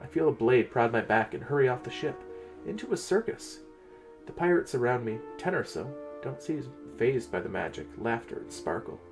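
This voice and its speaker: A man's voice: medium pitch, lively intonation, moderate pace, music in the background. A man narrating a first-person fantasy story in a dramatic reading style.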